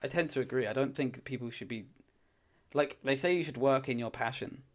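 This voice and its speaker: A man's voice: medium pitch, brisk. A man co-hosting a podcast, chatting casually, often stammering at the start of sentences and laughing as he talks. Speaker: podcast co-host. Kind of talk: casual chat.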